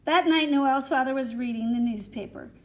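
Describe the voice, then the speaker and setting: Female storyteller, high voice, animated and expressive, reading a picture book to young children. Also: brisk. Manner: animated, addresses young listeners with questions. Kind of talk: expressive read-aloud for children.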